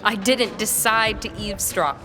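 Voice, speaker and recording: A woman's voice: medium pitch, a little slow, natural voice. A woman playing a proper, guarded governess in a period audio drama, polite and careful with hesitant phrasing. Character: proper, guarded young governess recounting events. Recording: loud music under the voice.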